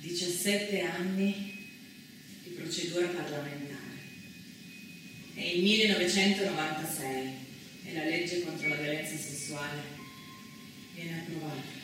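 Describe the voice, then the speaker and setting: A woman performing as a solemn theatrical narrator, declaiming deliberately, recorded from a distance with heavy echo and music behind. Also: low voice, background noise. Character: solemn female narrator of women's history, reciting scripture and law. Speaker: stage actress. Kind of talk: dramatic scripted theatrical narration.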